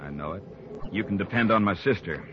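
A low male voice as an embittered western rancher's son with a short temper. He is gruff and defensive, in old radio drama style, on a muffled recording with faint noise. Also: lively intonation, a little fast. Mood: bitter, defensive. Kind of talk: 1950s radio drama acting.

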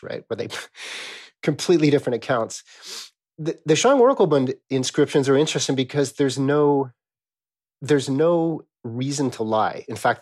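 A man with a husky, middle-pitched voice talks as an expert guest in an interview. He explains scholarly material conversationally and animatedly, often checking in with rhetorical questions.

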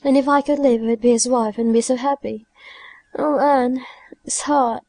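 Audiobook role: dying young woman, played by a female reader, high soft voice, plaintive, halting, fearful. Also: steady tone, no echo. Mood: tearful longing. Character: frightened, dying young woman pleading to live. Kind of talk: audiobook character dialogue.

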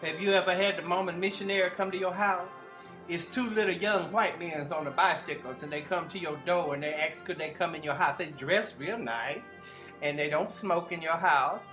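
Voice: mid-range voice, moderate pace, music in the background, lightly acted. A performer voicing a folksy, gossipy middle-aged busybody who rambles in a drawl with loose grammar. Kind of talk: comedy character monologue.

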